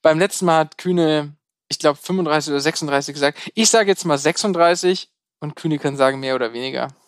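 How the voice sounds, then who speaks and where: A man's voice: a little high, fairly quick, smooth, no echo. A man co-hosting a casual film podcast, making sarcastic, dry jokes. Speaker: podcast co-host.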